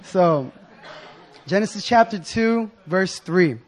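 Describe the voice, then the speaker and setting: A young man with a hoarse voice giving an informal sermon in a casual, slangy, excited manner. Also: high voice, takes it slowly. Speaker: preacher.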